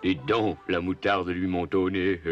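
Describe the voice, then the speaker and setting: A man voicing a big, easygoing male dog in a French cartoon dub, drawling and amused. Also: low voice. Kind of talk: French animated series dub. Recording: dull lo-fi cartoon soundtrack.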